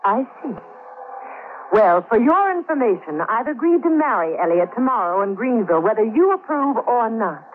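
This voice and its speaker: An actress playing a willful young niece, arch, teasing and defiant, in a 1940s radio drama. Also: female voice, on the high side, sing-song, moderate pace, narrow, tinny audio. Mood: cool defiance. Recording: thin old radio recording, background hiss.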